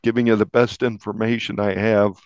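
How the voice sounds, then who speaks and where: A man speaking as a journalist guest, explaining deliberately in an interview and restating points for emphasis. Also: on the low side, flat delivery, clean tone, dry recording, lo-fi sound.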